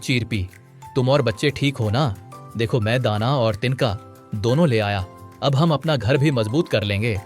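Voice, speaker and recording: A voice actor playing a caring, apologetic father sparrow in a cartoon, talking gently. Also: medium pitch, moderate pace, soft background music, lightly acted. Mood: concerned.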